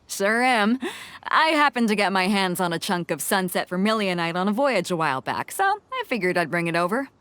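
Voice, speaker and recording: Hearty lady pirate captain in a game, casual, very animated delivery. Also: mid-range voice, normal speed, dry recording. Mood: impressed, breezy. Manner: casual, chummy, swaggering. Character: hearty, breezy, confident female seafaring captain. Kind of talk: video game voice acting.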